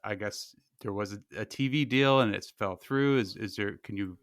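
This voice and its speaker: A man with a smooth, somewhat low voice asks questions as a podcast interviewer. His casual delivery is hesitant and repeats words, recorded very dry. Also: normal speed, fairly bright tone, dry recording.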